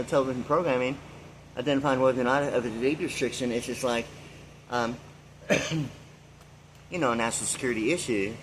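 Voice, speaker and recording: Man in his sixties, rambling, filler-heavy, middle pitch, faint background hum. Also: fairly bright tone, poor audio. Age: 60s. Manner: meandering, filler-heavy, free-associating. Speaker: vlogger. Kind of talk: rambling monologue.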